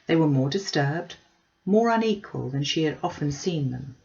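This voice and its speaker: A woman's voice: sits low, expressive, normal speed, vocal fry, a little mellow, lo-fi sound. A woman reading a classic novel aloud as scripted audiobook narration. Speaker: audiobook reader.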